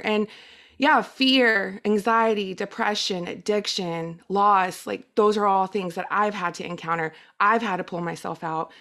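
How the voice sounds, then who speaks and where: A woman's voice: medium pitch, fairly bright tone. Middle-aged woman, earnest personal testimonial. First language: Spanish. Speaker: guest speaker.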